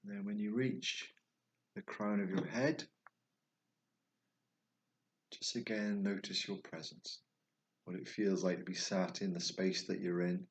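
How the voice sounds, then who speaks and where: A man guiding a meditation, speaking slowly and softly, at times dropping toward a whisper. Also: low-pitched, steady tone. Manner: slow, soft and soothing, trailing off toward a whisper. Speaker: meditation guide. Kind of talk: guided meditation.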